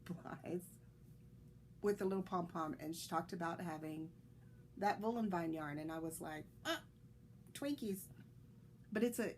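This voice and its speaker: A woman's voice: fairly low pitch, darkish tone, slight background noise. A woman hosting a knitting podcast, chatting in a rambling way with fillers and restarts, a little congested. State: slightly congested, coughing. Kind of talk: casual solo podcast chat.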